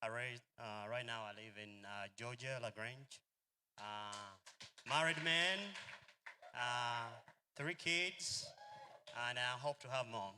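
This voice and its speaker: A man's voice: medium pitch, slow, pushed and bright, a little hiss or hum. A man, speaking to a convention crowd in a rambling, storytelling way with many fillers. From Uganda. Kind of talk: informal speech to a crowd.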